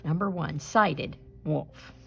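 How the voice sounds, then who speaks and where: A woman reading a children's story aloud with expressive narration. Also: deep voice, slow pace, creaky, soft background music. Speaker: storybook reader.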